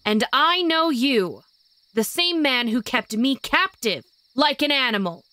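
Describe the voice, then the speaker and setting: A righteous, defiant witch voiced by an actress, slightly high and very dry in sound. She declaims firmly, like someone facing down her persecutors in an audio drama. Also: expressive, unhurried, sharp tone, no room sound, full character voice. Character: defiant, righteous witch, woman once held captive.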